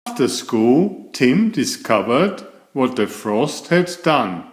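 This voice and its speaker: Male bedtime-story reader, slow and deliberate, very animated intonation, roomy sound. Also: medium pitch. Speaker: storyteller, children's author and channel host. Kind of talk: read-aloud children's bedtime story narration.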